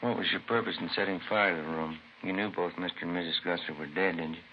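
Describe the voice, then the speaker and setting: A man's voice: low-pitched, steady tone, fast, close to an everyday voice. A man with an American accent playing a probing, no-nonsense police detective in a 1950s radio drama. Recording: muffled, hissy vintage radio broadcast recording.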